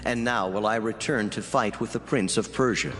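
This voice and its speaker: A man playing a solemn heavenly messenger in dramatized scripture, delivering prophecy in a measured, declamatory way. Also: on the low side, fairly bright tone, lightly acted. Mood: grave, prophetic. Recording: long hall reverb under clear music. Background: music.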